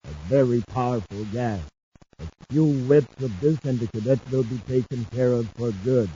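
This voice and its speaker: A masked villain, played by a man, delivers threats with calm, matter-of-fact menace. The voice is mid-pitched and mellow, in fifties radio adventure style. The recording is dull, like an old broadcast. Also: moderate pace, a little hiss or hum, natural voice. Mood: coolly menacing. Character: masked male henchman, coolly menacing villain. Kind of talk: 1950s radio adventure serial acting.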